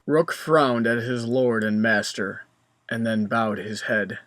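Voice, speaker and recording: A man's voice: medium pitch, steady tone, takes it slowly, a bit bright, dry recording. A man reading scripted story narration as the narrator.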